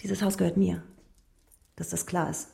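An actress playing a chic, haughty young niece in a radio drama, speaking in an imperious, condescending, curt way. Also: female voice, fairly low pitch, flat delivery, moderate pace, gravelly, dark tone, husky, heavily acted. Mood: imperious, demanding. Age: young adult.

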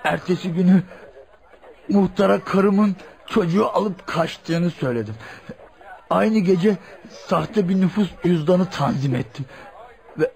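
An actor playing a hard-drinking, poor father who confesses his crimes bluntly and haltingly in a radio drama. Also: high voice, gravelly, a little mellow. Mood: grim, confessing.

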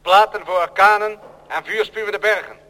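A man's voice: high-pitched, fairly even intonation, normal speed, bright, forceful tone. A man playing a coldly menacing machine in an old radio drama, declaiming ominously. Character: machine producing plates, coldly menacing, prophesying domination. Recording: long reverberant hall.

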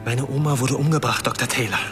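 An actor playing a nervous but defiant young student in a radio crime drama, stammering and insistent. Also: male voice, medium pitch, fast talker, over music, natural voice. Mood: upset, suspicious. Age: young adult.

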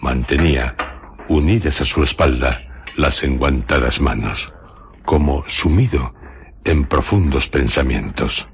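Very deep-voiced man narrating radio drama. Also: a little hiss or hum. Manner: measured, phrase-by-phrase delivery.

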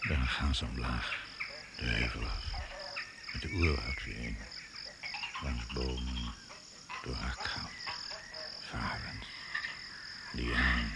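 An actor playing a grave narrator of a jungle thriller radio drama, speaking in clipped, deliberate phrases. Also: male voice, extremely low pitch, fairly even intonation, creaky, noisy background, heavily acted. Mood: grave, suspenseful.

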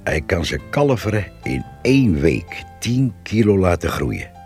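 An actor in a radio play playing a knowing countryman who explains things deliberately, pausing as he goes, in a gravelly voice. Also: deep voice, sing-song, darkish tone, faint music behind, heavily acted. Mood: measured, matter-of-fact. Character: measured, knowing countryman describing a farmer.

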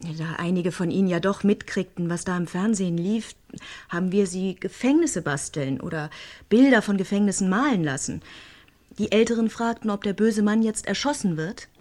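Ordinary woman's voice, medium pitch, actress as a candid kindergarten teacher chatting as a radio play guest. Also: normal speed, a little mellow, lightly acted. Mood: uneasy, candid. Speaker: studio guest. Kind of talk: radio drama, conversational.

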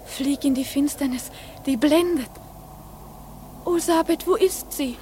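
A woman's voice: sits high, measured pace, warm tone, a little hiss or hum. A woman playing a dreamy, trusting little girl in a radio play, pleading and wistful. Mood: eager, frightened.

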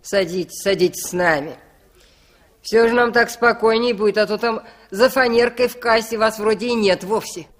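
A woman's voice: fairly high pitch, normal speed, close to an everyday voice. An actress playing a suspicious, careworn village widow in her sixties, plain-spoken and folksy, in a 1960s Soviet radio drama. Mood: grumbling. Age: older.

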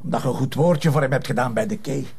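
An actor playing a sulking, sarcastic husband who grumbles in a Flemish accent, in a naturalistic drama. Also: male voice, medium pitch, quick pace, creaky, darkish tone, breathy edge. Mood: jealous, sarcastic.

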